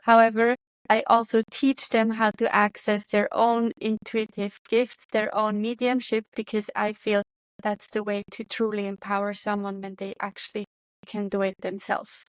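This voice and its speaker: A woman's voice: mid-range voice, flat delivery, normal speed, smooth, no echo, low-quality recording. A woman with a Swiss accent in her thirties, talking as an interview guest in an earnest, searching way with restarts. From Switzerland. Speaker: guest, medium and coach. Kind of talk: casual interview conversation.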